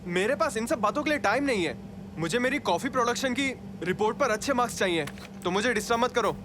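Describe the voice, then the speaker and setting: A man voicing an impatient, self-important, studious older boy in an animated children's comedy. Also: very high voice, fast talker. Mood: irritated, busy.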